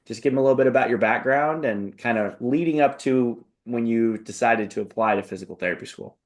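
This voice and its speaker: A man's voice: medium pitch, a little fast. A man hosting an interview in a casual, upbeat, encouraging and buddy-like way. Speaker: interview host and application coach.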